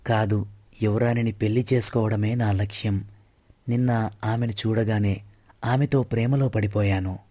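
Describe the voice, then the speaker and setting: Man playing a mellow, devoted young prince. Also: fairly low pitch, fairly even intonation, normal speed, long pauses, no room sound, natural voice. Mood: earnest.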